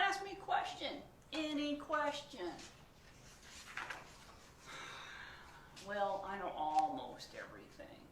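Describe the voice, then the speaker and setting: A woman voicing an excitable, whiny male pigeon who begs and pleads melodramatically in a storybook read-aloud. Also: fairly low pitch. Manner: wheedling, begging, melodramatic. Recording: echoey room, distant mic, faint noise.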